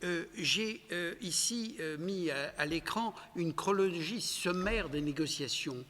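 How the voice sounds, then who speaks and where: A man with a fairly high speaking voice guides a discussion, laying out points in a measured, explanatory way. He uses a few fillers. The room gives his voice a long reverb. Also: expressive, a bit bright. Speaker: moderator. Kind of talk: guiding a panel discussion.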